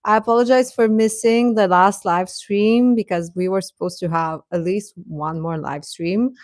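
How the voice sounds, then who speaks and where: A woman's voice: mid-range voice, moderate pace, a little mellow, dry recording, clean studio sound. A woman hosting a livestream, chatting casually and informally with her viewers. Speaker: livestream host.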